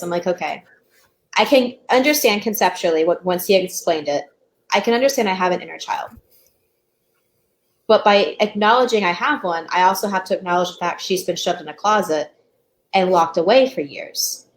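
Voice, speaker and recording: A woman talking as a counselor in a casual discussion, with a thoughtful, measured manner. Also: on the low side. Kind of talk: casual podcast-style discussion.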